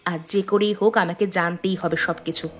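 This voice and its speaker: An actress playing an earnest, strong-willed young daughter-in-law in a cartoon drama. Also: female voice, lowish voice, steady tone, moderate pace, soft background music, lightly acted. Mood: determined, defiant. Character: educated young daughter-in-law, defiant amateur sleuth.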